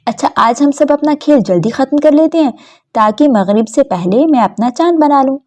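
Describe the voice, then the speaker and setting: A woman's voice: sits high, expressive, a little mellow, lo-fi sound, voice pushed up. A woman voicing a determined, inventive young boy, eagerly laying out plans in a children's story. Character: determined, inventive schoolboy friend making plans.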